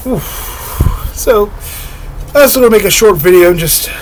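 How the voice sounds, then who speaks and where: Dreamy, giggly man, slightly hoarse. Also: sits high, animated, noisy background. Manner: slow, dreamy, giggly, trailing off. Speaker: spiritual vlogger. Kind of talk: reflective solo monologue.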